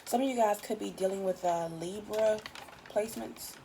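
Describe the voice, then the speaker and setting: A tired woman with a raspy voice, giving a casual, chatty tarot reading in loose, swearing everyday talk. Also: medium pitch, normal speed, dark tone, a little hiss or hum. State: just woke up, tired. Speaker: tarot reader.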